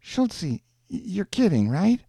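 A man putting on a high-pitched brash woman. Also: very animated, unhurried, vocal fry, husky, dry recording, full character voice. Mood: wary, disbelieving. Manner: slangy, double negatives, sharp-tongued. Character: brash young Brooklyn woman, defensive and tough-talking.

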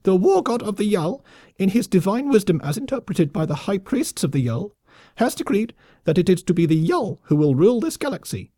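Audiobook villain: vicious lemming colonel, squeaky, high-pitched, barking pompous military bluster, very animated. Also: male voice, brisk, no echo, studio quality. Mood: pompous, threatening. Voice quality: squeaky, shrill. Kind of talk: audiobook character voice.

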